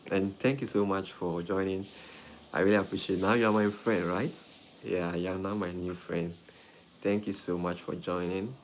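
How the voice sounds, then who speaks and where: Guy doing a live stream, real low voice, keeps repeating names, bit of room hum behind. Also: low-quality recording. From Ghana. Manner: friendly, greets viewers by name, repeats phrases. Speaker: doctor hosting a live stream. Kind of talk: live-stream chat with viewers.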